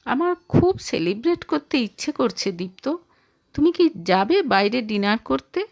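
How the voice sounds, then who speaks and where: A woman's voice: fairly high pitch, big pitch swings, measured pace, vocal fry, darkish tone, heavily acted. A woman voicing an earnest working wife in an audio story, eager yet hurt, with a pleading, emotive manner.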